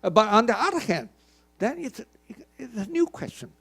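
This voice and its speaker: A man's voice: sits high, very animated, moderate pace, creaky, a bit bright, husky. Emphatic older man giving a keynote. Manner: emphatic, questioning the audience, many repeated words. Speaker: keynote speaker. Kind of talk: conference keynote speech. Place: conference hall.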